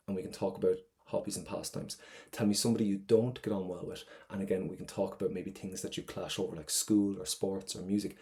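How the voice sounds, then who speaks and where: A middle-aged man with an Irish accent teaching an exam preparation lesson, energetic and encouraging, often checking in with "right?". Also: sits low, gravelly. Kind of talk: exam prep masterclass lesson.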